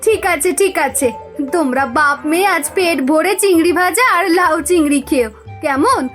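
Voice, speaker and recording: A woman's voice: very high-pitched, normal speed, a bit bright, soft background music. A woman playing an exasperated village wife and mother in a cartoon folk tale, scolding and grumbling. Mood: fond exasperation. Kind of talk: Bengali cartoon fairy tale dubbing.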